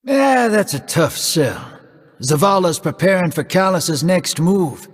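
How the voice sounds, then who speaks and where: A man voicing a laid-back, streetwise rogue in a video game, with a husky, folksy, wry drawl. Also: sits high, big pitch swings, takes it slowly, long reverb tail, soft background music, heavily acted. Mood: easygoing. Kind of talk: scripted game voice acting.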